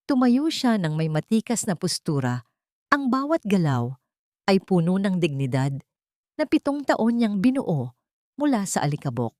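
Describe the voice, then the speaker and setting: A woman storyteller reading a scripted dramatic story in measured, solemn phrases. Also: mid-range voice, big pitch swings, a little mellow, no room sound, studio quality.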